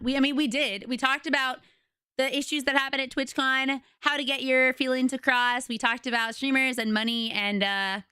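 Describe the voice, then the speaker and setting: High bright raspy-voiced young woman streamer. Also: no echo, clean studio sound. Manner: chatty, rambling, retells conversations. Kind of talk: casual chat to stream viewers.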